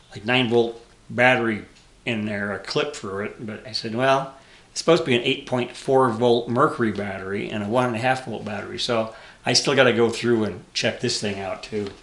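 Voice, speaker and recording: A man in his seventies giving a casual, unscripted hobby show-and-tell, halting and rambling as he thinks aloud. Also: medium pitch, fairly bright tone. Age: 70s. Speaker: hobbyist vlogger showing vintage electronics. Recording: echoey room with clear background noise and clicks.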